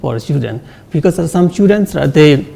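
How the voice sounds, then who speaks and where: Gravelly halting man saying sir constantly. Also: fairly high pitch, expressive, mellow, a little hiss or hum. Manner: halting, says sir often, repeats words. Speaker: student monk. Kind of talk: interview answers.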